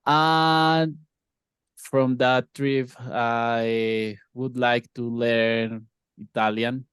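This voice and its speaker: A man from Colombia whose first language is Spanish, a student speaking haltingly on a video call as he searches for words. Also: mid-range voice, level tone, fairly bright tone, clear, resonant tone, no echo.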